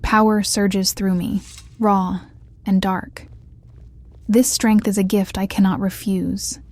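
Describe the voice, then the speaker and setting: A woman's voice: mid-range voice, level tone, slow, a little mellow. A woman voicing a young vampire mage in a brooding, darkly exultant inner monologue. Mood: dark exultation.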